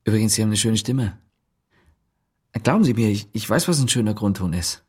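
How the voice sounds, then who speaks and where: A friendly villager, radio play, husky voice, rambling, eager. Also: male voice, on the low side, lively intonation, fairly quick, a little mellow. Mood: chatty. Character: awkward friendly village man, Jo.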